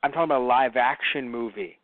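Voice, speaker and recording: A man's voice: mid-range voice, fairly even intonation, quick pace, narrow, tinny audio, a crowd in the background. A man from New York co-hosting a show, rambling through a casual, comic film review with a jokingly whiny streak and acted-out mock quotes. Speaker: radio show co-host reviewing films.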